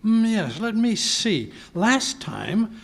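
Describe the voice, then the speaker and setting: A man's voice: high-pitched, very animated, slow pace, creaky, heavily acted. A man playing an elderly, genial doctor reminiscing by the fireside in a 1940s-style radio drama, hesitant, rambling and a little fussy.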